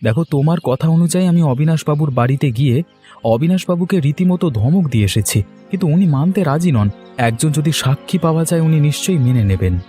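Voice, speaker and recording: Male actor as earnest wronged son-in-law, cartoon drama; dark mellow voice, faint music. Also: fairly high pitch, expressive, fairly quick, close to an everyday voice. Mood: pleading. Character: honest, aggrieved young village son-in-law. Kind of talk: dramatic cartoon story dialogue.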